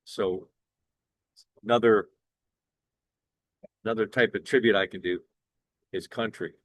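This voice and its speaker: An older man from Cleveland with a bass baritone voice, pitching his work as an event singer in an upbeat, earnest way. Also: steady tone, unhurried, a bit bright, no room sound, low-quality recording. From Cleveland, Ohio, USA. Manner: upbeat, earnest salesman talk with small restarts. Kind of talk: promotional direct-to-camera pitch.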